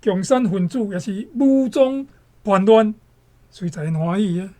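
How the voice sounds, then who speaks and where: A woman's voice: medium pitch, lively intonation, measured pace, dark tone, close to an everyday voice. Woman as dramatic Taiwanese-accented radio storyteller.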